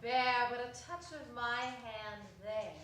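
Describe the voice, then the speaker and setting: A gentle, childlike spirit, read by a woman, high and unhurried, speaking softly and solemnly. The voice carries from a distance through a very echoey hall. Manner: slow, solemn, soft. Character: Ghost of Christmas Past, gentle childlike spirit. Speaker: reader in a staged dramatic reading. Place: small arts center stage. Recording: echoey hall, mic far off.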